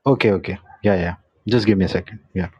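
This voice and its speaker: A man's voice: low voice, fast talker, a little mellow, husky. A man in casual chat on a podcast, hesitant and full of "uh" and restarts. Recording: dull, dry lo-fi sound.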